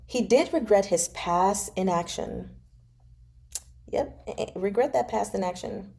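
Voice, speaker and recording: A woman's voice: medium pitch, a little slow, vocal fry, a little mellow. A woman hosting a read-along, mixing novel narration with casual, playful commentary. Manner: playful, giggly asides. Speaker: live read-along host.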